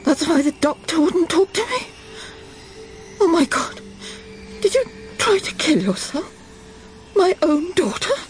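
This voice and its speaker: A woman's voice: very high-pitched, sing-song, measured pace, pauses often, faint music behind, full character voice. An actress playing a proper middle-aged Englishwoman in a radio drama, with an English accent. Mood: desperate, tearful. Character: smug middle-aged Englishwoman, lost and breaking down.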